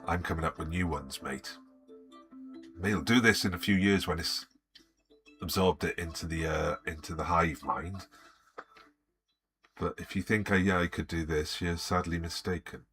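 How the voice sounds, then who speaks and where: An older man streaming live from his home studio, muttering to himself as he works, grumbling at his software and chatting with viewers. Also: low-pitched, fairly even intonation, measured pace, pauses often. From Wales. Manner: mutters to himself while working, grumbles, then greets chat viewers. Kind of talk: live art stream chat. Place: indoor home studio at a desk. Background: background music.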